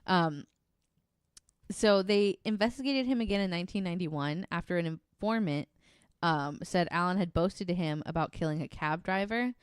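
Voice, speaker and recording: A woman's voice: on the low side, lively intonation, moderate pace, clean tone, dry recording, clean studio sound. A woman co-hosting a casual podcast, mixing wry banter with reading from notes in an informal way. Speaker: podcast co-host.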